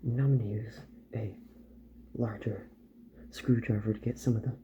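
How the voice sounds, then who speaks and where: Man as a calm ASMR robot repair doctor, lowish voice, soft and hushed, unhurried, half-whispered, heavy background noise. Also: natural voice. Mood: calm, focused. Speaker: ASMR roleplayer. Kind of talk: soft-spoken ASMR roleplay. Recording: dull sound, loud background noise.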